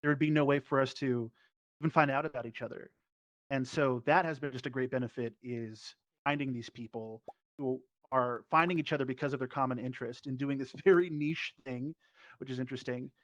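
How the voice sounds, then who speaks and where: A man's voice: mid-range voice, fairly even intonation, brisk, a bit bright, no echo. Male panelist, mid-range voice, conversational and enthusiastic, slightly muffled voice chat. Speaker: panelist, performer. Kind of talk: casual panel discussion. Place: virtual reality social app session.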